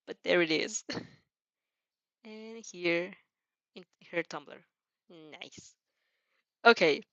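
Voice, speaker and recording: Girl reading fanfic out loud, giggly and expressive, chatty asides, kinda muffled dry mic. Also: medium pitch, dry recording. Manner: giggly, expressive, breaks off to comment. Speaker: fan fiction narrator and reactor. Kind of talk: dramatic reading with casual reactions.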